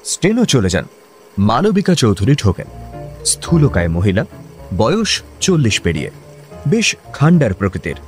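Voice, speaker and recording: An actor playing the narrator of a comic radio drama, telling the office story in scripted style. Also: male voice, on the low side, wildly swooping pitch, normal speed, pauses often, soft background music. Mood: storytelling.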